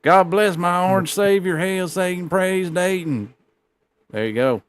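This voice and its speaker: A man's voice: high voice, unhurried, clear, resonant tone. Laid-back, profane male co-host. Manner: joking, profane, laughs often. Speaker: podcast co-host. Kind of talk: casual live-stream commentary.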